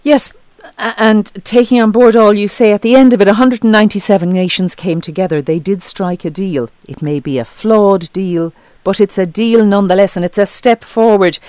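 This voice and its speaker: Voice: medium pitch, fairly even intonation, moderate pace, darkish tone, smooth, no room sound. A radio news presenter, reading scripted links and probing a guest.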